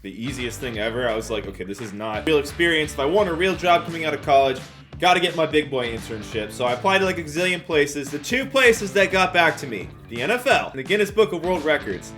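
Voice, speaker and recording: A young man with an American accent and a slightly high voice tells a story in the manner of a casual YouTuber. His delivery is animated and informal, full of slang and self-mockery. Faint music plays behind him. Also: fast. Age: young adult. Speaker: YouTuber telling a personal story.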